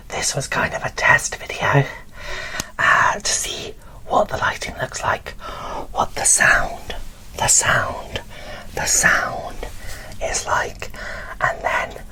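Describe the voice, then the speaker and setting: A woman whispering in a breathy, barely voiced ASMR style, presenting dolls with gentle, deliberate phrasing into a close microphone. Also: extremely low pitch, poor audio. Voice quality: breathy whisper with little voicing. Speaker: doll collector presenting an unboxing.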